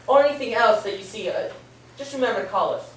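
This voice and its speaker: A woman playing a calm, polite policeman who questions a witness in a radio play, with probing, hesitant phrasing. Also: on the high side, fast talker, close to an everyday voice. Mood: reassuring, instructing. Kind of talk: amateur old-time radio play acting. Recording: echoey, distant, with hiss.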